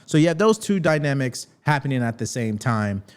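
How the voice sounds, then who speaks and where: A man's voice: medium pitch, sing-song, a little fast. An American man speaking as the host of a political commentary show, addressing viewers directly.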